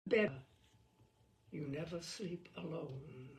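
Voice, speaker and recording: A woman storyteller reads comic prose with theatrical, knowing relish. Her pitch swoops widely and creaks at the edges, at an unhurried pace, in a roomy, echoing space. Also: lively intonation. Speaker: storyteller reading a book aloud. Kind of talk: expressive humorous narration of a mock guidebook.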